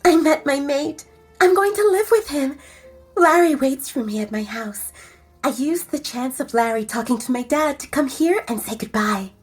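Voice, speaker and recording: A woman narrator voicing the young women of a storybook audio drama in a higher, lively voice. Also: normal speed. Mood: gentle, dreamy. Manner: high lively character voicing.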